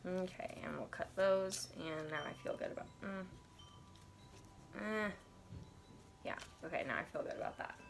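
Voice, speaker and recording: Young woman, stuffy nose from allergies, low-ish voice, rambling bedroom chat, slight room hiss. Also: normal speed, gravelly, long pauses. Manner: chatty, wandering asides, thinking aloud. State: congested from allergies. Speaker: tarot and oracle deck reviewer. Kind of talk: casual unboxing chat with read-aloud passages. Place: cluttered bedroom, indoors.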